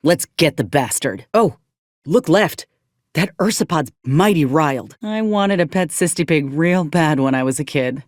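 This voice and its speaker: A woman's voice: deep voice, lively intonation, measured pace, darkish tone, dry recording, studio quality. A woman playing an earnest, plain-spoken young frontier soldier in a video game, with a blunt, folksy, principled manner. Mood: determined. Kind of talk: video game character voice acting.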